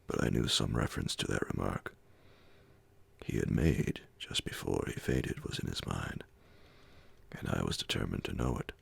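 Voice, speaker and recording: A man reading classic horror fiction as an ASMR audiobook, in a hushed, measured, breathy and gravelly voice. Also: a bass voice. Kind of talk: ASMR audiobook scripted narration.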